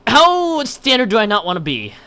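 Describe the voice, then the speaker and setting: A man with a clear, smooth, fairly high voice reads a game story aloud on a livestream. His delivery swings widely in pitch, and he trips over the text and drops in casual exclamations of his own. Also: wildly swooping pitch, normal speed, bright, forceful tone. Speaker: game streamer. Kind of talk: livestream read-aloud of a visual novel with casual reactions.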